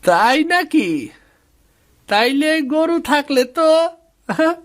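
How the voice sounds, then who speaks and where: A woman's voice: sits high, expressive, slow pace, slight background noise. Woman voicing a sly, envious village neighbour. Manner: sly, suspicious. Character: envious, scheming village neighbour plotting a theft. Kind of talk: cartoon dialogue.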